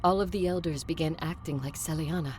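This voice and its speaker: A woman playing a bold, sharp-tongued vampire conqueror in an audio drama, both narrating and speaking with blunt directness. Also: low voice, brisk, a little hiss or hum, lightly acted. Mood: watchful narrating. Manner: blunt, confrontational. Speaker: lead actor and first-person narrator.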